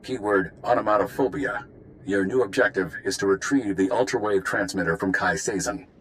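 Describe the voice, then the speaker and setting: An actor playing a sober spy commander in a video game, giving orders in a clipped, official manner. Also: male voice, fairly low pitch, normal speed, a bit bright, a little hiss or hum, lightly acted, low-quality recording. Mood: grave, businesslike. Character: sober, authoritative male spy base commander. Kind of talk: video game cutscene acting.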